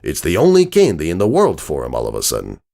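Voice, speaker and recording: A man playing a gruff, world-weary, streetwise stallion who tells a bedtime story in slangy, grumbling and wistful tones, as a dramatic reading. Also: extremely low pitch, huge pitch swings, fast talker, darkish tone, full character voice. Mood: exasperated.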